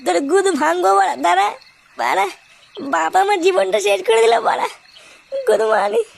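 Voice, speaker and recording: A performer voicing a foul-mouthed, rebellious young boy worker in a comic cartoon, ranting in a coarse, squeaky, creaky voice. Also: normal speed, heavily acted. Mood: exasperated ranting. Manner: ranting, coarse, constantly cursing.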